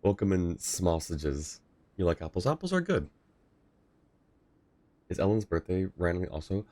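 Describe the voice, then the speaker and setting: A man's voice: sits low, very animated, normal speed, a little mellow, no echo. A man chatting casually and reacting as he livestreams a video game. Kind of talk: casual stream chat and reactions.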